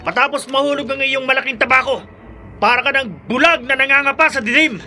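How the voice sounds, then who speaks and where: A man playing a frightened but defiant young man in a horror radio drama, high-strung and melodramatic. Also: brisk, bright, forceful tone, soft background music, exaggerated voice. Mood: nervous defiance.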